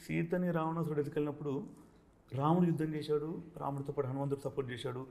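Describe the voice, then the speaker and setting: A man's voice: a little high, level tone, racing, vocal fry, darkish tone. A man interviewed as a film director, explaining his views earnestly and philosophically with some English mixed in.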